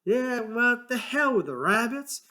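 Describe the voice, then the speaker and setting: A man voicing a small, irritable ranch hand who talks gruffly, swears and sounds fed up. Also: extremely high pitch, big pitch swings, measured pace, bright, forceful tone, voice pushed up, full character voice. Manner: gruff, exasperated, swearing, rough rural speech.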